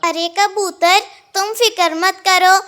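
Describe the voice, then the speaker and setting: Bright, very high little bird voiced by a woman. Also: fairly even intonation, slow pace, heavily acted. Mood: concerned, helpful. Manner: earnest, reasoning. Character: kind, peace-loving female little bird. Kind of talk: cartoon story dialogue.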